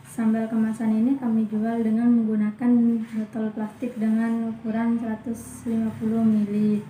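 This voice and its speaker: A woman's voice: a little high, mellow, a little hiss or hum. A young woman from Indonesia, a student reading a business presentation from a script, listing points one by one. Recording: echoey room.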